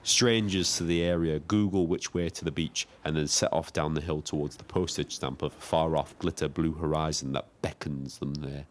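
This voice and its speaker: An actor playing a lonely, observant young British taxi driver, narrating in a measured, wry, literary way. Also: male voice, extremely low pitch, steady tone, slight background noise. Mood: wry, reflective. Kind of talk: scripted first-person narration.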